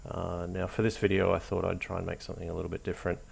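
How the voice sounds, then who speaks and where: A man hosting a hobby tutorial, narrating casually off the cuff in a hesitant, low-key, encouraging way. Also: completely flat delivery, racing, creaky, a little mellow, breathy edge. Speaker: hobby craft tutorial host.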